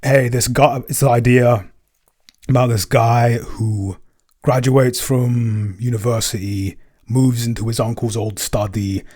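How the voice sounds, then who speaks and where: A man chatting casually in a behind-the-scenes Q&A as a producer and composer, with a halting, self-effacing way of talking. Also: medium pitch, fairly even intonation, darkish tone.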